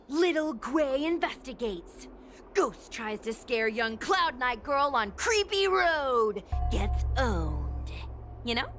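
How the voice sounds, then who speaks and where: Bubbly, high-pitched game girl. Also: a bit bright, a little hiss or hum, exaggerated voice. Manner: chatty, persuasive, confident. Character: bubbly, enterprising young ghost-hunting girl, eager for followers. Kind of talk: animated video game voice acting.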